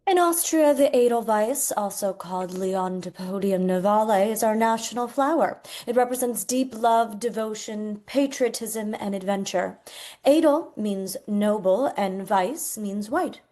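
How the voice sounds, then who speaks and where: A woman's voice: medium pitch, a little slow, warm tone, close to an everyday voice. A woman playing a friendly Austrian flower seller in her sixties, reciting facts like a tour guide at a table read. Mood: earnest, informative. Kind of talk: table read of a comedy script.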